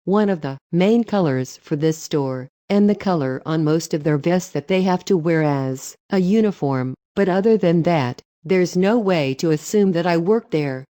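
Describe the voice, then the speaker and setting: A woman narrating online stories in an even, matter-of-fact reading voice. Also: on the low side, normal speed, few pauses, no echo, clean studio sound.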